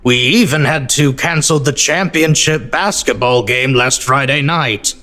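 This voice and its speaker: Audio drama dean: formal, unhurried address, mid-pitched and solemn. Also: male voice, pushed and bright. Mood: solemn, official. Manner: deliberate, official. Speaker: school dean.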